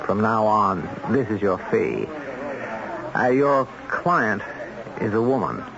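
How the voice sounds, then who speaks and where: An actor playing a cool, businesslike middleman for contract killers in an old-time radio drama, speaking in a measured, detached way. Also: lowish voice, expressive, vocal fry, crowd noise, full character voice. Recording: dull old radio recording with music.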